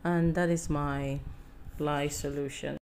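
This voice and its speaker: A low-voiced woman, a soap-making host, talks through her recipe in a warm, unhurried tutorial voice-over. Her delivery rambles, with frequent fillers and corrections as she explains each step. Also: little pitch movement, a little hiss or hum.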